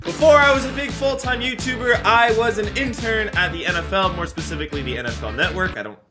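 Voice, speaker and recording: A young American man telling a personal story in a casual, animated, slangy and self-mocking vlog style. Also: high voice, fast talker, music in the background. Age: young adult.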